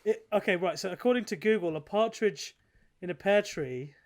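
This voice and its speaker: High-voiced man hosting casual podcast game. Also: moderate pace. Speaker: gaming podcast host running a game. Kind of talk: casual chat.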